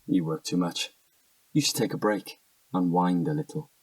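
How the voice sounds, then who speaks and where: Evasive young British guy, low husky voice, defensive, hesitant, audio drama, slightly off-mic. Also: lively intonation, moderate pace, dry recording, far from the mic, low-quality recording. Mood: guarded, friendly. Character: evasive, defensive young man under questioning. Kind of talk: naturalistic audio drama dialogue.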